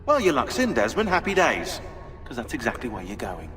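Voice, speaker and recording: Sardonic British historian, very reverberant. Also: male voice, medium pitch, wildly swooping pitch, brisk, fairly bright tone, faint music behind. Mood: wry. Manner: dry, sardonic. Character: dry, sardonic male British historian, brainy and know-it-all. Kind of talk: video game cutscene acting. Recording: long hall reverb.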